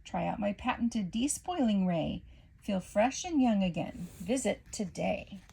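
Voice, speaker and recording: A woman's voice: medium pitch, expressive, unhurried, vocal fry, mellow, husky, full character voice. A woman voicing a bumbling female inventor professor in a storybook, talking in a chirpy sales patter. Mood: salesy. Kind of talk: storybook character voice.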